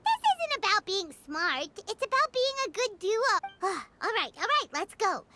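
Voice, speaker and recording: A woman voicing a tiny, excitable, childlike fairy companion in a video game, squeaky and thinking aloud in exclamations. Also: unhurried, exaggerated voice. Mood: pondering. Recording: music playing behind the voice.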